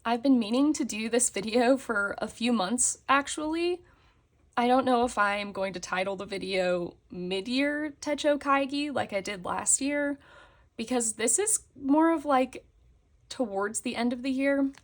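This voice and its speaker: A young woman, slightly hoarse after a concert, chatting casually as she shows her planners on camera. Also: a little high, unhurried. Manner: chatty, with fillers and frequent laughs. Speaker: planner vlogger. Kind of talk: casual show-and-tell chat. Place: indoors at a desk, hands on a table.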